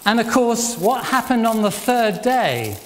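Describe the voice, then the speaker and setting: Slow, high-pitched older man in echoey church. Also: big pitch swings. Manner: questioning, repeats and affirms answers. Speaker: church leader at an all-age service. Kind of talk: interactive all-age church talk. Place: church hall, addressing a congregation. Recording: echoey church hall, faint background noise.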